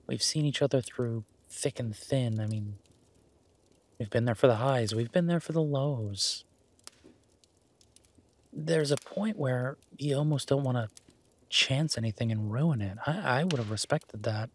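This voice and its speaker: A tender, laid-back werewolf boyfriend, voiced by a man for intimate roleplay audio. He speaks slowly in a husky, breathy murmur, leaving long gentle pauses and sounding deeply content. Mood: reflective, sincere. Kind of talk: intimate roleplay audio, slow soft conversational acting.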